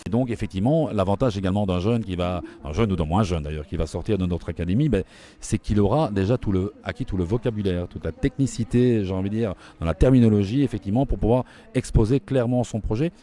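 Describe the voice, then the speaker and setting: Middle-aged Belgian man, deep and quick, dark mellow tone; informative interviewee. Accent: Belgian French. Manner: brisk and informative, with frequent fillers. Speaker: interviewee, equestrian trainer. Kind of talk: explanatory interview talk.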